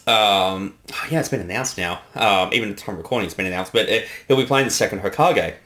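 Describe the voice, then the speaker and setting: A man's voice: lowish voice, fast, bright, forceful tone. A man chatting casually as a director giving commentary, with an enthusiastic manner.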